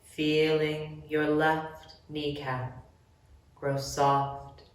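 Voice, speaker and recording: Slow-paced woman guiding relaxation in echoey room. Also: low-pitched, flat delivery. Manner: gentle, measured instructions with long pauses. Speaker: yoga nidra guide. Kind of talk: guided relaxation meditation. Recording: very echoey room, distant mic.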